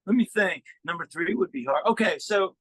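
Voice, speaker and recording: A man speaking as a musician guest in a casual interview, thinking aloud in a halting way as he explains. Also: high voice, animated, a bit bright, husky, dry recording.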